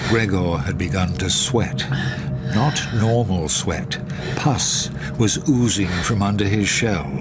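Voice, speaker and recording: A man narrating a scripted radio drama in a grave, deliberate manner. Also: lowish voice, over loud music.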